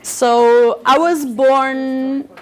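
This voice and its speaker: A woman's voice: sits high, steady tone, drawn-out words, a crowd in the background. A woman in her forties, a native Turkish speaker from Bulgaria, telling a personal story at an event with hesitant fillers and restarts. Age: 40s. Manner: hesitant, frequent fillers and restarts, laughs often.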